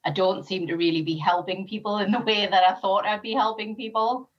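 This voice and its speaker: A woman's voice: medium pitch, fairly even intonation, fairly quick, highs cut off. A woman with a North East English accent, born in Sri Lanka, chatting as a podcast guest with many ums and easy laughs. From Sri Lanka, raised in North East England. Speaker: interview guest, former police officer. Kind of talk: casual interview chat.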